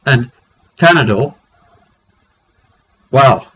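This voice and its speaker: Male game master, mid-pitched, casual off-the-cuff storyteller with halting delivery; muffled home-grade recording. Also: animated, fairly bright tone, breathy edge. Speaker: game master recounting a tabletop session. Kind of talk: casual, improvised storytelling of a fantasy roleplay game. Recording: dull lo-fi audio with faint noise.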